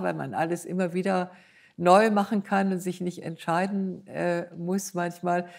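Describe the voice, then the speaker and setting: Older woman, low creaky voice. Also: darkish tone. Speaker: composer and sound artist being interviewed. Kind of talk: reflective conversational interview in front of an audience.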